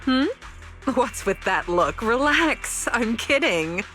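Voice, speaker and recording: A woman's voice: on the high side, animated, slow, fairly bright tone. An actress playing a playful, rebellious young woman researcher in a video game, teasing, breezy and sure of herself. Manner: teasing, breezy, self-assured. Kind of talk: scripted video game story dialogue. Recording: music behind the voice.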